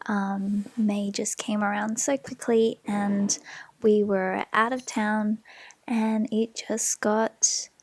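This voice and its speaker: An Australian woman talking through her planner pages in a gentle, often half-whispered way. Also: medium pitch, little pitch movement, takes it slowly. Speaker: planner vlogger. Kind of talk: soft-spoken planner flip-through. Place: home desk.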